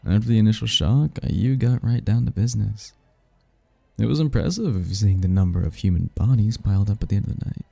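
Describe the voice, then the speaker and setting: Man voicing a deep, gravelly, seductive demon prince. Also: animated, warm tone, no room sound, heavily acted. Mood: teasing, amused. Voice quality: low, gravelly, husky. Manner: teasing, smug, drawn-out flirtatious delivery. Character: smooth-talking seductive male demon prince, playful, teasing, bored. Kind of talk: audio roleplay, ASMR-style voice acting.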